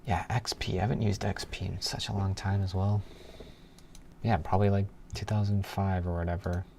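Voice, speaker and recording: A man's voice: low voice, level tone, moderate pace, a little mellow. A man in a casual coding livestream, thinking aloud and muttering to himself as he trails off, with keyboard typing. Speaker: live programming streamer. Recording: clear background noise, keyboard typing.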